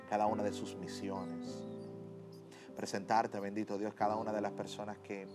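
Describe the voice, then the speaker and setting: A low-pitched man with a Dominican Spanish accent leads a studio prayer, slow and fervent, repeating each invocation. Soft piano plays clearly under his voice. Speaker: host. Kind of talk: leading a spoken prayer on a TV show. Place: TV studio set. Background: soft piano music.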